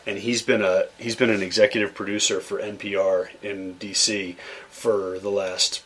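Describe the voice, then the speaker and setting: Man, young adult, from Massachusetts, low voice, rambling storyteller, podcast guest. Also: little pitch movement, moderate pace, fairly bright tone. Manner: rambling, many restarts and fillers. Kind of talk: relaxed conversational storytelling.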